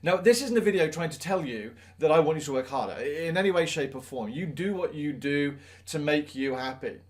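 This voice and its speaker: A man's voice: on the high side, expressive, fairly quick. A man, a maths teacher giving students earnest advice in a conversational, reflective talk full of fillers.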